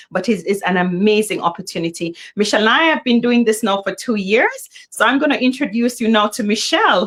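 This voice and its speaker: A woman's voice: mid-range voice, fairly bright tone. A middle-aged woman, hosting a live online business talk in an upbeat, welcoming way. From Jamaica. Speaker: host.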